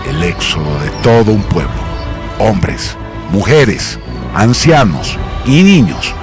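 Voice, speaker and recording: A man narrating a scripted radio drama in a solemn, grandiose manner with measured phrasing. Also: fairly low pitch, very animated. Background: music.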